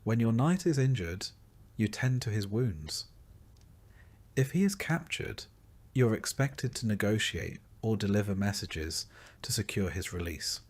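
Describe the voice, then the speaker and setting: A man reading scripted narration in a measured, dryly wry storytelling manner. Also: lowish voice, expressive. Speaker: narrator of a history video. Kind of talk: scripted second-person narration.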